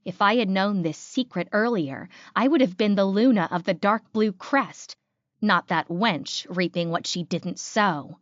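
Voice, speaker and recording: A woman's voice: medium pitch, unhurried, dry recording, muffled recording, lightly acted. A woman voicing a jealous, scheming female werewolf in first-person audiobook narration. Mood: scheming, resentful.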